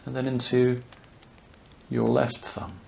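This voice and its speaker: A man leading a guided yoga nidra relaxation, speaking softly and soothingly, unhurried, with long pauses. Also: mid-range voice, creaky. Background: crackling fireplace ambience.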